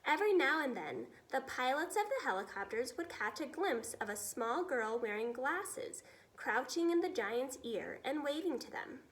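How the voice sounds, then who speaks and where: A woman reading a children's story aloud in an expressive teacher's storytelling style. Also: sits high, moderate pace, low-quality recording.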